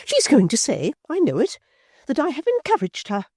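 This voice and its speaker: Woman voicing a high, shrill, indignant society lady for an audiobook, slow theatrical scorn. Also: huge pitch swings, warm tone, no room sound. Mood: scornful. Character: haughty indignant middle-aged lady scolding her friend. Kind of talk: audiobook character voice.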